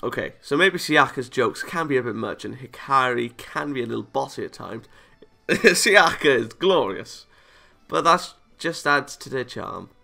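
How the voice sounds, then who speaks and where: A man's voice: mid-range voice, expressive, a little slow, vocal fry, sharp tone. A man playing a visual novel, reading the story aloud and adding casual reactions of his own. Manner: chuckles and comments between read lines. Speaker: video game let's player.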